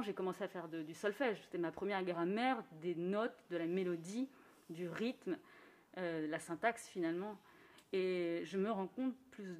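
A woman musician speaking in a thoughtful, earnest way during a radio conversation, sometimes laughing softly. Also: medium pitch. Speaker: musician guest. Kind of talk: reflective conversation.

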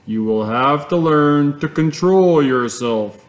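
A man voicing a gentle, steady farmer father who speaks kindly. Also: on the high side, takes it slowly.

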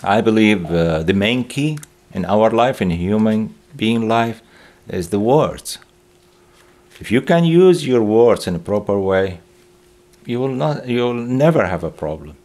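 Older man, low earnest voice. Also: lively intonation, measured pace, darkish tone. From Iraq. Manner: earnest, slightly broken English. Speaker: interviewee, father. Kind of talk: interview. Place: studio against a grey backdrop.

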